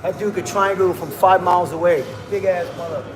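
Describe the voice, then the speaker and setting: A hyped man chats with his livestream viewers in a high voice, tossing out slang and calling everyone bro. His voice bounces around an echoey room, and music plays clearly behind him. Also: steady tone, fairly quick. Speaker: livestream host. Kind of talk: casual livestream chat.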